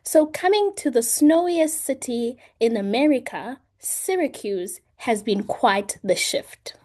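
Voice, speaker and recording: A woman from South Africa with a South African accent, a native Zulu speaker, giving a deliberate prepared self-introduction as a scholarship student. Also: on the high side, expressive, darkish tone. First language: isiZulu.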